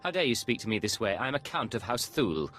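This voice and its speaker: A man's voice: medium pitch, very animated, fast, fairly bright tone, faint music behind. A man playing a haughty, self-assured secret agent posing as a count in a video game. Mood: haughty indignation.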